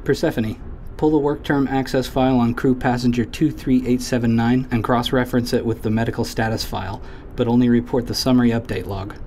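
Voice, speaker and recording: A man playing an awkward software technician in a sci-fi audio drama, hesitant with people and matter-of-fact with machines. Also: medium pitch, fairly even intonation, brisk, hardly any pauses, slight background noise, lightly acted. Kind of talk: naturalistic audio drama acting.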